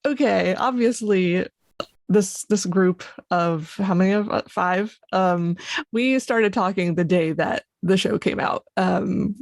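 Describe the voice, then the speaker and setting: Lady podcast cohost, low gravelly voice, dry close sound, rambly. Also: takes it slowly, no room sound. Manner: chatty, rambling with fillers. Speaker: podcast co-host. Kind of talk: casual podcast chat.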